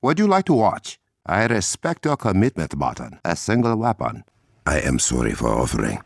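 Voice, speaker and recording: An actor playing a dignified Wakandan warrior king in a video game, with an African accent, a gravelly husky voice and formal, measured, dryly witty phrasing. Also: low voice, huge pitch swings, no echo, clean studio sound, exaggerated voice. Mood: apologetic, formal. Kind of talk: video game character dialogue.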